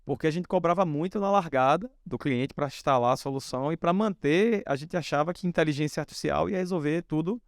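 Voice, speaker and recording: A man in his forties from Northeast Brazil, a company founder telling stories from his early days in a relaxed, anecdotal way. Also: a little high, a little fast, few pauses, no room sound, clean studio sound. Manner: relaxed anecdotal, many fillers like "né". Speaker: founder and guest speaker. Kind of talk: storytelling on a stage talk.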